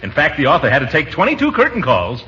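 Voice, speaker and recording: An actor playing a pompous, middle-aged comic uncle who blusters and chuckles, in 1940s radio sitcom style. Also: male voice, fairly high pitch, huge pitch swings, fast, pushed and bright, heavily acted. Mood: self-important. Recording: muffled, noisy 1940s radio broadcast.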